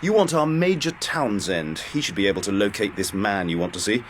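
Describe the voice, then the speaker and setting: An actor playing a polite, evasive British military officer in a radio drama, hesitant and officious. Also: male voice, fairly low pitch, animated, fairly quick, a little hiss or hum, close to an everyday voice. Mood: brisk, helpful.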